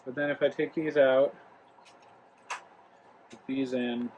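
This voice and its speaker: A young man hosts a casual review vlog from home and rambles through his thoughts with plenty of ums and restarts. His voice sits at a middle pitch, with a light hiss of room noise behind it. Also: level tone, slow, lo-fi sound. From Rhode Island. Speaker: travel vlog host. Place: home, living room near the kitchen.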